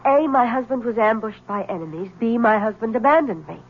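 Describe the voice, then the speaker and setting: Intense devoted wife, higher voice, husky edge, dull old radio sound. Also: female voice, animated, brisk. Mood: defiant. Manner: crisp, proud, emphatic. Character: devoted, intense young wife insisting on her story. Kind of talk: 1970s radio drama acting. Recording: lo-fi 1970s radio broadcast.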